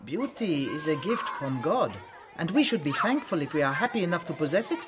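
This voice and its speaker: A woman's voice: deep voice, sing-song, fairly quick. An actress playing a gracious, benevolent French Mother Superior in a radio drama. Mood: amused, benevolent.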